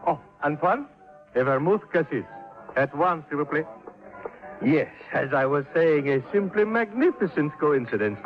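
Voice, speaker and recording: An actor playing a flamboyant, greedy, self-admiring count, theatrical and effusive, in old-time radio style. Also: male voice, on the high side, lively intonation, moderate pace, lightly acted. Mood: effusive, smug. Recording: muffled old radio recording, faint music.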